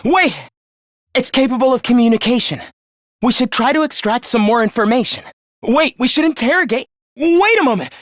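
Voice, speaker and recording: A man playing an earnest, caring teenage student leader in an anime-style video game, with a youthful, expressive voice and polite delivery. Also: very high voice, normal speed, dry recording, studio quality. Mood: urgent. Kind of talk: animated game voice lines, anime-style dub acting.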